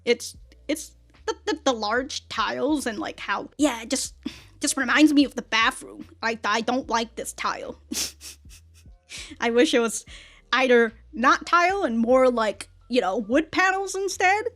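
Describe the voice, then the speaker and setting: A woman hosting a casual anime reaction and review, speaking in an animated, exclamatory way and often chuckling as she talks. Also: fairly high pitch, a little slow. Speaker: reaction video host.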